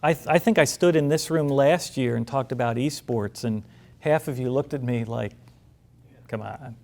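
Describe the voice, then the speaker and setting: A man's voice: medium pitch, normal speed, gravelly, darkish tone. A man who is a college president, giving an informal, conversational speech to alumni. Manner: conversational, self-deprecating, with restarts. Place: large meeting room.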